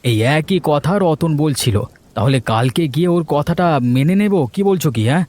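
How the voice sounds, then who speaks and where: A man's voice: on the high side, lively intonation, normal speed, darkish tone, close to an everyday voice. A man voicing a simple, trusting village husband in a cartoon story, speaking in a mild, hesitant manner. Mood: unsure. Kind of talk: Bengali cartoon story voice acting. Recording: music bed under the voice.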